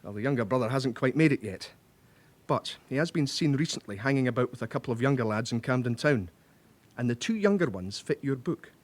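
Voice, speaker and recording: An actor playing a methodical, self-satisfied British police records officer, explaining in measured phrases, in a radio drama. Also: male voice, medium pitch, animated, close to an everyday voice. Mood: pleased, methodical.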